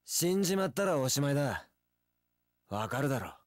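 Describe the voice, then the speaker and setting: Man acting an easygoing, lively young warrior. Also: mid-range voice, normal speed, dry recording, clean studio sound, natural voice. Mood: sincere. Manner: casual, breezy, rough masculine speech. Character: young easygoing male wandering warrior, romantic, sincere. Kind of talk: Japanese action game voice acting.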